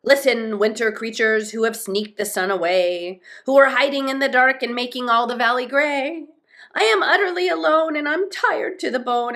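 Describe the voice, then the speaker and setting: A woman voicing a small, earnest male troll child in a storybook, plaintive and excitable. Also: on the high side, voice pushed up, lightly acted. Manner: plaintive, exclaiming, breathless phrasing.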